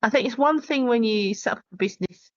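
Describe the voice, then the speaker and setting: A woman's voice: on the high side, fast, dry recording. A woman hosting a podcast interview, encouraging and conversational with her guest. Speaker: podcast host. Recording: dry, dull lo-fi call audio.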